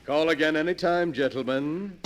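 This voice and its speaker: An actor playing a sly, morbid invalid collector, theatrical and unctuously polite, in the style of 1940s radio horror. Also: male voice, fairly high pitch, background noise. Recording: vintage radio drama recording.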